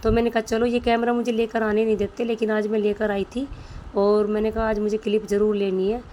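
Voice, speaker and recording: A woman's voice: medium pitch, monotone, fairly quick, mellow, slight background noise. A woman vlogger with a sore throat, chatting homely and directly to her viewers about her day. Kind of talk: casual home vlog voice-over.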